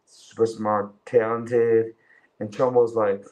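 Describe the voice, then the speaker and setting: A man's voice: fairly low pitch, little pitch movement, takes it slowly, a little mellow, poor audio. A Chinese man in his twenties whose first language is Chinese, chatting loosely on a livestream, swearing and calling listeners bro. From China. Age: 20s. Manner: mocking impressions in a raised voice. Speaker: livestream commentator. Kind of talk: casual livestream chat.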